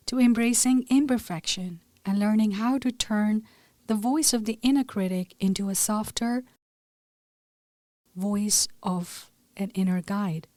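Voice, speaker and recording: A woman leading a gentle scripted guided meditation in a soothing, measured way, her soft voice turning breathy at phrase ends. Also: mid-range voice, no echo.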